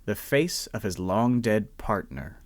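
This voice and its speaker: Male narrator for a radio play, animated and measured, dry close sound. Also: lowish voice, no echo. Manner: measured, expressive storytelling. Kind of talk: dramatic storybook narration.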